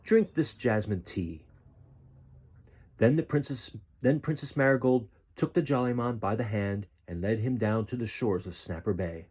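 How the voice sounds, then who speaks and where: Mellow, husky male storybook narrator. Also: mid-range voice, moderate pace, hardly any pauses. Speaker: storybook reader. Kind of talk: expressive children's story narration.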